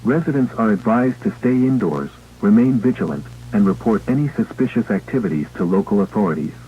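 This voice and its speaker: A man playing an official emergency broadcast announcer, reading a scripted alert in a formal, measured, impersonal way through a radio filter. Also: on the low side, expressive, dark tone, a little hiss or hum. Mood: grave, official. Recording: tinny, band-limited emergency radio broadcast.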